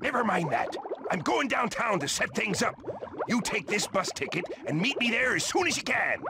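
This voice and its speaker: Gravelly, animated old crab boss. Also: male voice, sits high, normal speed, over loud music, full character voice. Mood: excited, scheming. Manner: hearty, bossy sailor-like talk. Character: blustery, money-minded older male crab restaurant boss. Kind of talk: cartoon video game acting.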